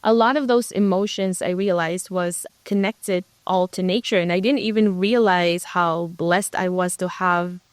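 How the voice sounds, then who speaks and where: Guest woman from the Philippines, reflective storyteller, smooth clear voice, dry close sound. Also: lowish voice, dry recording. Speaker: guest, ministry co-leader. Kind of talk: conversational interview.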